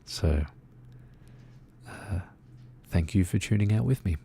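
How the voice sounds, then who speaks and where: A man streaming a game, chatting in a soft-spoken, soothing, rambling way with lots of fillers. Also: deep voice, lively intonation, slow, vocal fry, a little hiss or hum. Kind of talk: relaxed casual chat while gaming.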